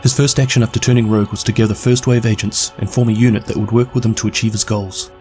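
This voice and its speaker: A man with a New Zealand accent narrating a scripted lore recap in a brisk, steady delivery. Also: lowish voice, faint music behind. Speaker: video game lore narrator.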